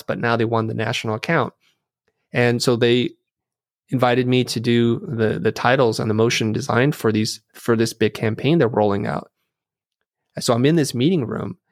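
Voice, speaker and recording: A man's voice: mid-range voice, dry recording. Earnest middle-aged man, dry close sound. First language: Vietnamese. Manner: thoughtful, earnest, with frequent restarts. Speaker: interview guest, designer and teacher. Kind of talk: reflective storytelling in conversation.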